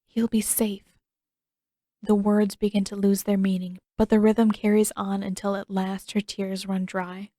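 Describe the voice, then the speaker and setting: A woman reading story narration in a measured, expressive storytelling manner. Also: medium pitch, dry recording, studio quality. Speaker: fan fiction narrator.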